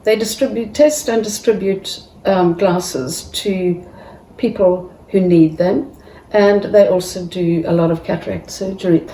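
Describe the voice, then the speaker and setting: A woman speaks slowly and haltingly in a mellow voice, restarting her sentences often. She sits back from the mic in an echoey room. A faint hum of ambience lies underneath. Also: lowish voice, steady tone, recorded from a distance. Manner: halting, with many restarts and pauses. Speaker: family member giving a tribute. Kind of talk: tribute speech.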